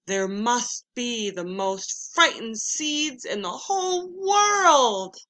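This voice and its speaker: A woman voicing an impatient, earnest male toad in a children's storybook, speaking with loud, emphatic exclamations. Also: on the high side, very animated, takes it slowly, exaggerated voice. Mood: frustrated, worried. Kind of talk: children's storybook read-aloud.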